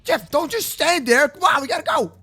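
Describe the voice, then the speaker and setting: A young adult man improvising kids' Minecraft roleplay commentary in an excitable, slangy way, often repeating his words. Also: very high-pitched, a little fast. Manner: slangy, addresses partner as bro and man. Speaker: gaming video host and roleplayer.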